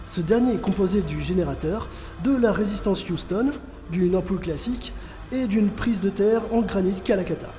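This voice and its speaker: A man's voice: sits high, normal speed, warm tone, faint music behind, lightly acted. A man playing a proud, scheming physicist in a comedic audio drama, with theatrical fervour. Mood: grand presenting. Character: Louis, proud, scheming French male physicist.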